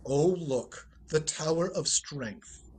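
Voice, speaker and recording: A man acting a breezy, teasing, urbane ex-husband in a 1940s radio play, with a husky voice and wry nonchalance. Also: fairly high pitch, expressive, slow pace, darkish tone, full character voice.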